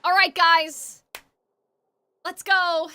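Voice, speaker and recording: A young woman giving casual, excitable live reaction commentary as a video game streamer, talking informally. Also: very high voice, very slow, a little hiss or hum. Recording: game music behind voice. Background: game soundtrack and dialogue.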